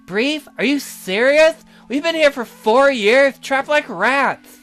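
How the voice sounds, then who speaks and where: A man's voice: very high voice, animated, slow, a bit bright, heavily acted. A man voicing a condescending, sardonic castle magician in a visual novel, haughty and scornful as he explains things. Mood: disdainful.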